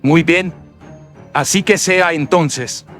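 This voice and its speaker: Man playing a highish-voiced young servant over music. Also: slow pace, fairly bright tone. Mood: polite, deferential. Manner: formal, deferential, theatrical. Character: young lovestruck male servant, polite and fearful. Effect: voice processed with audio filters. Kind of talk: Spanish horror radio drama acting.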